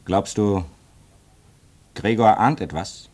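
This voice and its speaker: A man's voice: lowish voice, slow, a little mellow. An actor playing a bitter, restless man of twenty-five at a polar station in a radio play, brooding and sardonic in clipped phrases. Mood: grim. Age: young adult. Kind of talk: old German radio play acting.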